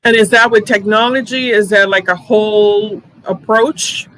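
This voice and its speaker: A woman's voice: medium pitch, steady tone, unhurried, a bit bright, slight background noise, poor audio. A woman hosting a live online interview, chatty, with many fillers and restarts. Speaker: host.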